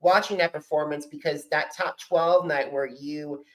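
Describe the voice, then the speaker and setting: A man's voice: a little high, fairly even intonation, a little slow, low-quality recording. A man hosting a podcast interview, talking like an enthusiastic fan, in bursts with many restarts. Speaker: podcast host. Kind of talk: casual interview chat.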